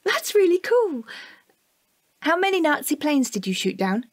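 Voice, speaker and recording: A woman's voice: high-pitched, sing-song, measured pace, dry recording, clean studio sound. A woman with a British accent playing a curious, eager young child in a radio play. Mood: inquisitive. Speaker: actor. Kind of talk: radio drama dialogue.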